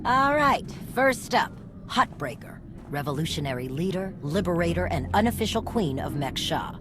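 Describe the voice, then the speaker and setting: An actress playing a confident, savvy underworld fixer, briefing in a brisk, wry, matter-of-fact way in a game. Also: female voice, on the low side, big pitch swings. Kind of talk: scripted game briefing dialogue. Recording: music under the voice.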